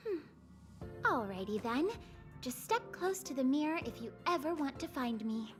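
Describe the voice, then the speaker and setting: An actress voicing a secretive, coaxing woman who guards memories in a video game, speaking in a measured way. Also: sits high, sing-song, music in the background. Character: secretive, softly insistent mysterious woman, keeper of memories.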